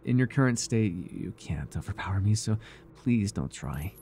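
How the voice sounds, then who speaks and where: Man acting a creaky-voiced, fawning cult servant. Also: fairly low pitch, lively intonation, mellow, slight background noise. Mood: coaxing, apologetic. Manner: reverent and fawning, halting, with sighs and stammers. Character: devoted, obsessive male cult servant captor of a dark god. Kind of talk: intimate ASMR roleplay acting.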